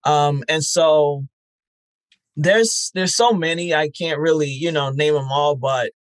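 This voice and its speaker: Reflective man, measured podcast guest. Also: on the high side, no room sound. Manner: reflective, many fillers and restarts. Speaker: podcast festival founder, guest. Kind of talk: conversational interview answers.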